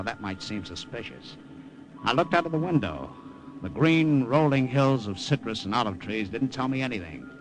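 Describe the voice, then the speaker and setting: A man's voice: on the low side, poor audio. A man voicing a nervous American spy posing as an Italian salesman, stammering in scenes and narrating tensely, in 1940s radio style. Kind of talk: 1940s radio acting with first-person narration. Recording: old radio broadcast with music beds.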